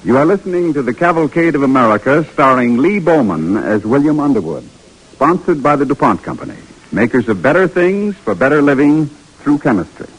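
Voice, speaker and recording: A man's voice: medium pitch, sing-song, brisk, heavy background noise. A man announcing a sponsored radio play in a formal 1940s broadcast style. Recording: vintage radio broadcast over loud music.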